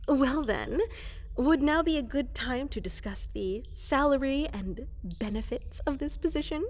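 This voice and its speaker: Woman acting fluffy llama-like minion, fantasy audio roleplay, eager, formally polite, hesitant, slow-paced, very animated intonation, long hall reverb. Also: sits high, slight background noise. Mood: coy, pleased. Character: eager, fluffy woolly llama-like woman applying as evil advisor. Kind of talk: roleplay ASMR character acting.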